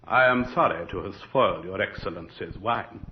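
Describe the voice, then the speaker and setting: A man's voice: deep voice, moderate pace, lightly acted. An actor playing a courtly nobleman in a radio drama, speaking in a formal, theatrical manner. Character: courtly nobleman, lord host to His Excellency. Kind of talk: 1980s radio drama acting. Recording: dull, noisy old radio recording.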